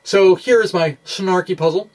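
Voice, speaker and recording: A man presenting an informal science lecture in a halting, self-deprecating way, as if thinking aloud. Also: high voice, low-quality recording. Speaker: presenter of a physics video blog.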